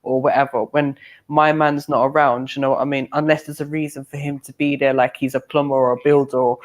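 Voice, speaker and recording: A woman's voice: very deep voice, little pitch movement, a little fast. A woman speaking frankly on a podcast panel, often checking "do you know what I mean". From Ireland. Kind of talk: casual debate chat.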